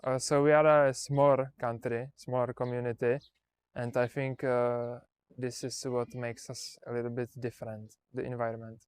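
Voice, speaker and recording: A man's voice: medium pitch, level tone, moderate pace, clear, resonant tone. A 19-year-old man from Czechia answers an interview hesitantly, often restarting his sentences. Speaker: exchange participant.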